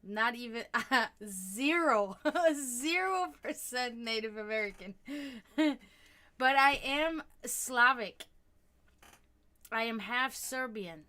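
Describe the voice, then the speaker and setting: A woman born and raised in Germany, with German as her first language, chatting warmly as she reads tarot cards for viewers on a live stream. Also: on the high side, slow. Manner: chatty, animated, laughs often, talks to chat.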